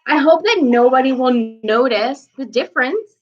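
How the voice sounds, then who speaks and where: A woman's voice: high-pitched, expressive, moderate pace, dull audio, voice pushed up. A woman voicing a humble, worried male baker from a storybook, in a pleading manner.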